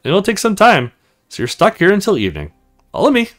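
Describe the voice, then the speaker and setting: A man's voice: high-pitched, wildly swooping pitch, fairly quick, soft background music, pitched above the performer's own voice. A man voicing a stern, teasing female officer in a visual novel, matter-of-fact and commanding. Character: stern, teasing military woman officer in charge.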